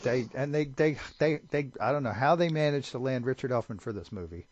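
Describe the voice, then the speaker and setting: A man's voice: mid-range voice, animated, a little fast. A man co-hosting a podcast, talking casually about a movie and often stumbling over repeated words as he starts a sentence. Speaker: podcast co-host. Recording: dull lo-fi podcast audio.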